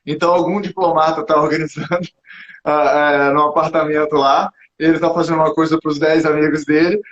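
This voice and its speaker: A Brazilian man hosting a casual live chat, rambling and self-mocking, laughing often, a little hoarse. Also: fairly high pitch, fairly bright tone, slight background noise. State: slightly hoarse. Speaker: podcast host. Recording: dull lo-fi sound.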